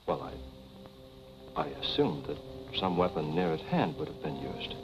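An actor playing a suave, quietly menacing man in his thirties, speaking smoothly and formally in 1940s film style. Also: rumbling low, very animated, normal speed, exaggerated voice. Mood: insinuating. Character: suave, cool male family friend, quietly insinuating and menacing. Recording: dull 1940s film soundtrack with music.

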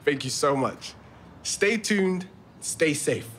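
An eager young London guy in an audio drama, with a fairly high voice that moves up and down animatedly. He is earnest and overenthusiastic and trips over his own pitch. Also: expressive, takes it slowly, a bit bright. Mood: earnest, pleading. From London, England. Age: young adult. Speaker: actor in a fiction podcast. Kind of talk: naturalistic audio drama dialogue. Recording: faint ambient background noise.

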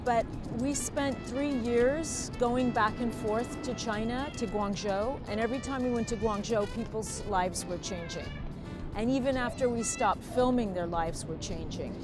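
An older woman speaks in a slightly high voice. She reflects on her film in a measured, explaining way. Clear music plays underneath. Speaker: documentary filmmaker. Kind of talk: reflective interview answers. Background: background music.

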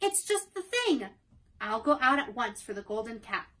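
A woman voicing an earnest, homesick young girl in a storybook reading, speaking high and eager. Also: fairly quick, pushed and bright.

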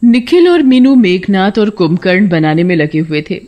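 A woman's voice: medium pitch, big pitch swings, moderate pace, lo-fi sound. A woman reading scripted narration as the storyteller of a children's tale.

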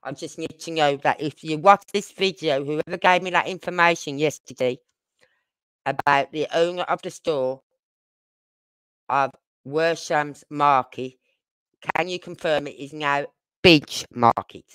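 A British woman chats casually as a livestream host, drifting informally from point to point. Her pace is slow and her voice is clean and smooth. The sound is dry, with little room around it. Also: sits low, a bit bright, no room sound, poor audio. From United Kingdom. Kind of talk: casual true-crime livestream chat.

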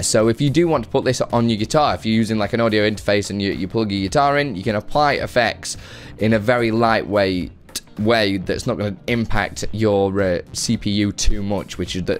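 A man in his thirties hosting a casual, chatty software tutorial at a close desk microphone indoors. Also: fairly low pitch, a little fast, soft background music. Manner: chatty, informal. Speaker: tutorial host. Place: home room with guitars, indoors. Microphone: close desk microphone on boom arm.

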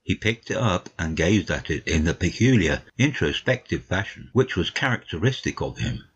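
A man playing a modest, polite gentleman narrator, the detective's puzzled friend, in a dramatic audiobook on a dull recording. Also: low voice, lively intonation, moderate pace, slightly rough. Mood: measured, wry. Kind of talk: dramatic audiobook reading, storytelling.

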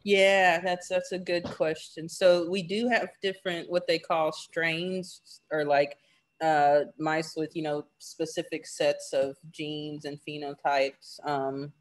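A graduate student answering panel questions casually, with many fillers, over a video call. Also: male voice, fairly high pitch, little pitch movement, slow.

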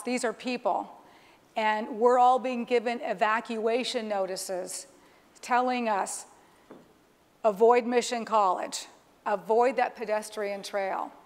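A middle-aged woman presents a live keynote demonstration, explaining as she goes. Her voice is on the high side and rings with the long reverb of a large hall. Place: large conference stage, indoors. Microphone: clip-on lapel microphone. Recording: reverberant hall sound through PA.

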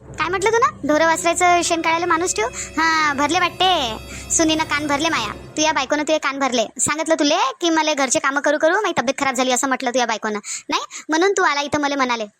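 A sharp-tongued village mother-in-law from a family cartoon comedy, acted by a woman. She speaks very high and quickly in a Varhadi Marathi accent. She is bossy and suspicious, scolding and insisting. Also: soft background music. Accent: Varhadi (Vidarbha) Marathi.

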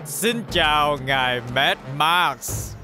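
A smug showman villain in a cartoon dub. He drawls slowly and boastfully, with big pitch swings. Music plays clearly behind him. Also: animated, bright, forceful tone, full character voice. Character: smug showman villain, a magical hat seller.

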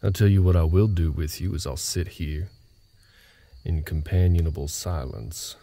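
Gruff, guarded cowboy ranch hand male actor, audio roleplay. Low and gravelly, terse, folksy. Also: normal speed, warm tone. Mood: gruff, teasing. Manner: gruff, terse, rustic folksy drawling phrasing. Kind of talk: intimate roleplay audio drama. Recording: nighttime cricket ambience behind voice. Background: crickets.

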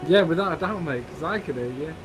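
A man's voice: fairly high pitch, animated, fast talker, noisy background. A young man, casually bantering and swearing while streaming a co-op game. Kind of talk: casual co-op gameplay chat. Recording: game music behind voice.

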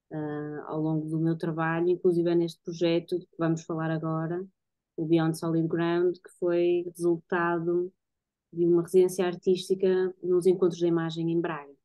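Woman, European Portuguese accent, artist guest. Lowish, mellow voice, reflective, conversational delivery. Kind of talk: casual explanatory conversation about own work. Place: home bedroom-living room, indoors. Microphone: computer mic on a video call. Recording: dull, lo-fi video-call audio.

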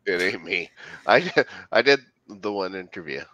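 A man's voice: low voice, animated, measured pace, sharp tone, slight background noise. A middle-aged man co-hosting a show from home over a headset, joking sarcastically and swearing in casual banter. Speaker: podcast co-host. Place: home room. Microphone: headset on video call. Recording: dull lo-fi dry call audio.

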